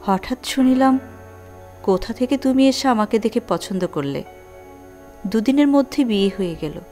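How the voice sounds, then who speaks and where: A naive young bride from the village, played by a woman in an audio drama. She speaks shyly and plaintively in a fairly high voice, with faint music behind her. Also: animated, normal speed, dark tone, natural voice. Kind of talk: Bengali audio drama acting.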